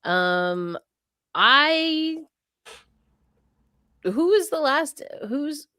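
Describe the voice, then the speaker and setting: A woman's voice: high voice, lively intonation, extremely slow, sharp tone, no room sound, clean studio sound. A woman hosting a sports talk show, blunt and exasperated, rambling through her asides. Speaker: podcast host. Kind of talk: casual sports chat.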